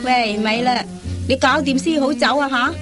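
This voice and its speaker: A woman's voice: high-pitched, moderate pace, over music, natural voice. A woman playing a coquettish, money-minded young dance hostess in a radio comedy, coy and coaxing with a needling edge. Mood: scornful, demanding. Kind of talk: Cantonese radio comedy acting.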